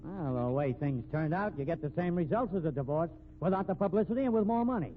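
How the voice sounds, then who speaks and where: A man acting as a brash, needling detective with a fairly high voice grills suspects in streetwise slang. It is 1950s radio mystery acting, heard through a narrow, tinny old recording with some hiss. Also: lively intonation, fast talker, natural voice. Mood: sardonic, accusing.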